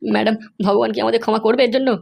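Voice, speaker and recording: An anxious cartoon accomplice, played by a woman, obedient and deferential to the boss. The voice is fairly high and creaky, and the questions come very fast. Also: steady tone, a little mellow. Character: anxious, obedient accomplice serving a scheming madam. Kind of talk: comedy cartoon dialogue.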